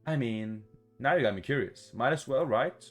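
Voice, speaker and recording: Man reading as a shy, halting young protagonist. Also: mid-range voice, close to an everyday voice. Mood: curious, teasing. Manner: halting, awkward. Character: shy, rambling young visual novel protagonist. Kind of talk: visual novel dialogue read-aloud. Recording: music behind the voice.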